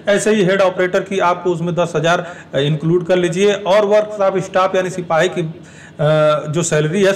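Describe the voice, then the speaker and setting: A man's voice: fairly high pitch, far from the mic. A man giving an explanatory talk to exam aspirants, repeating and rephrasing his points as he goes. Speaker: exam information presenter.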